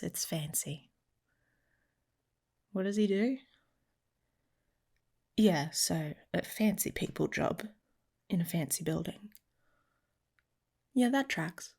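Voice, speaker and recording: A woman voicing a protective, teasing werewolf mate in an intimate ASMR romance roleplay, speaking softly and casually, often slipping into a whisper. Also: lowish voice, expressive, slow pace, gravelly, long pauses, slightly rough, full character voice. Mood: dry, casual. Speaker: audio roleplay performer.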